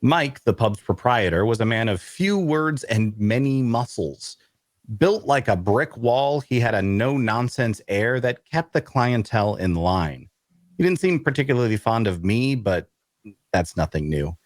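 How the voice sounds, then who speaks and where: An actor playing an awkward, bookish loner adventurer who narrates an audio drama in a wry way. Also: male voice, medium pitch, animated, measured pace, no room sound, natural voice. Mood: wry narrating. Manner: wry, hesitant in conversation. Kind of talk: first-person narration and dialogue in audio drama.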